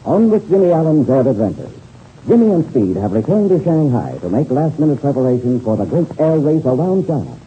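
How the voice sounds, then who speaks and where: A man's voice: mid-range voice, big pitch swings, fairly quick, warm tone. A man narrating a 1930s radio adventure serial as announcer in a dramatic, declamatory style. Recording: scratchy, tinny old-time radio recording, noisy.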